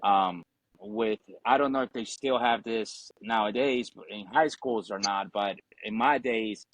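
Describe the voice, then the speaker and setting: A man's voice: fairly low pitch, unhurried, dry recording, rough recording. A man, telling his story casually and informally as a guest. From Ecuador. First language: Spanish. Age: 40s. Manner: informal, frequent "you know" and "obviously", swearing. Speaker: podcast guest, Marine veteran.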